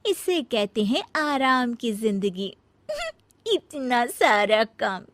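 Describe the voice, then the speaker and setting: A woman's voice: on the high side, sing-song, drawn-out words, no room sound, heavily acted. A woman playing a lazy, scheming young daughter-in-law in a cartoon family story, whiny and dramatic. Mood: smug. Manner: whiny, put-upon, dramatic complaining.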